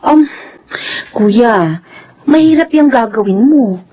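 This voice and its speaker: Radio drama: actress as a high, tearful young cousin, stammering, voice leaping all over. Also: female voice, expressive, takes it slowly, husky, highs cut off, full character voice. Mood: upset. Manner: shrill and tearful, stammering in disbelief. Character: Wilson's young female cousin, excitable and worried.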